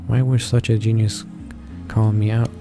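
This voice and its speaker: Low, flat-voiced man reading haltingly. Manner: stumbles over words and names, correcting himself. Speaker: gaming channel host reading along. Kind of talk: halting read-aloud of visual novel narration, casual asides. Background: game music playing softly.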